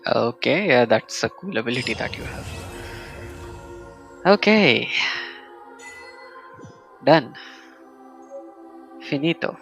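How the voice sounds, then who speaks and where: A man casually commentating a solo game live stream, muttering to himself and reading item text aloud in a halting way. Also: mid-range voice, expressive, creaky, fairly bright tone, faint music behind.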